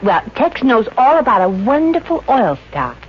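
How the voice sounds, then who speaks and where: A woman's voice: fairly high pitch, big pitch swings, moderate pace, slightly rough. An actress playing a sassy, wisecracking young working girl in a 1950s radio comedy. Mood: excited, confiding. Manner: wry, sassy, snappy comebacks. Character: wisecracking, dreamy young working-girl boarder, brassy and quick. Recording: muffled, noisy old radio recording.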